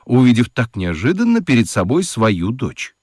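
A man tells a children's story as narrator. His medium-pitched voice moves with lively, varied intonation, in a dry, close recording. The delivery is theatrical and expressive. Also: normal speed, no room sound.

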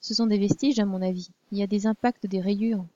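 A woman's voice: mid-range voice, fairly even intonation, a little fast, dark tone, dull audio, close to an everyday voice. A woman voicing a young princess who speaks in a formal, proper way. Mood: earnest. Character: young princess, weary yet curious and proper.

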